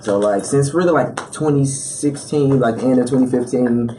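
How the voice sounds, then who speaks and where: A man's voice: mid-range voice, normal speed, warm tone, far from the mic, slight background noise. A young man born in Chicago and raised around Atlanta, a rapper talking loosely in a podcast studio interview with lots of filler words. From Atlanta area, born in Chicago. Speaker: rapper guest. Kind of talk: casual interview chat. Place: small podcast studio with foam panels. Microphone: studio mic on boom arm.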